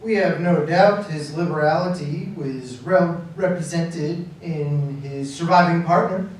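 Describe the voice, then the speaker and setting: A man playing a polite, regretful charity gentleman in a live radio drama, formal and deferential. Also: a little high, a little slow, lightly acted. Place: live community theatre performance. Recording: roomy live stage, distant mic.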